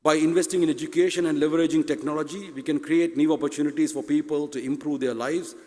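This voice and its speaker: A man's voice: a little high, steady tone, quick pace, dark tone. Sri Lankan man speaking in an echoey hall. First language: Tamil. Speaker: university president, conference speaker. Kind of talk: formal prepared conference speech. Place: conference hall stage. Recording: echoey hall with long reverb.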